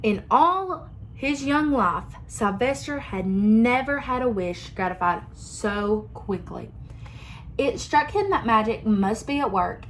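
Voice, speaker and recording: A young woman reading a picture book aloud to children, expressive and pausing to ask them questions. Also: a little high, a little hiss or hum, rough recording. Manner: expressive, asks listeners questions. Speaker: children's story reader.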